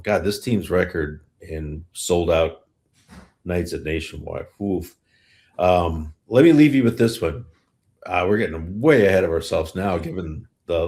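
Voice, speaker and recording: A man with a low voice hosts a casual sports conversation. He talks in a blunt, informal way and leans on repetition to drive his points home. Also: darkish tone. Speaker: sports podcast host.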